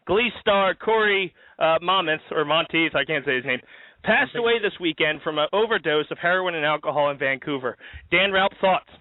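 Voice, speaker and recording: High-voiced joking man on a tinny line. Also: normal speed, no echo. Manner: joking, laughing, rambling with fillers. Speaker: host. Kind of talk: live-streamed comedy talk show. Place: outdoors in a backyard. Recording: tinny phone-like dry sound.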